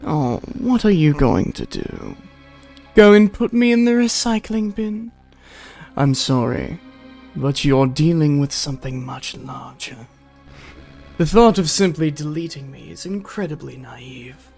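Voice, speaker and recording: A streamer voicing a mocking, sinister male digital villain who talks in slow taunts and drops to whispers. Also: mid-range voice, animated, gravelly, a little mellow, soft background music. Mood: taunting. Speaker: streamer voicing a visual novel.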